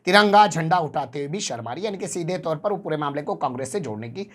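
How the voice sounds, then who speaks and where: A man's voice: fairly high pitch, animated, fast talker. Sarcastic male news commentator. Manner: sarcastic, rhetorical questions to the viewer. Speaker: news channel presenter.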